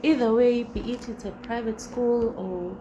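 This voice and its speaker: A woman's voice: fairly high pitch, fairly even intonation, moderate pace. A South African woman whose first language is Xhosa, a guest and former teacher, chatting reflectively and repeating her key phrases. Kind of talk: casual chat. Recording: clear background noise.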